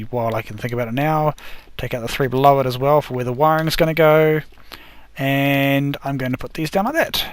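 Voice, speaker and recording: A man's voice: mid-range voice, clear, resonant tone, dry recording. A man casually talking through his game build step by step, often correcting himself, his voice a little worn. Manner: rambling, self-correcting, talks through each step. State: losing his voice. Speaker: gaming video host. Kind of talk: casual gameplay commentary.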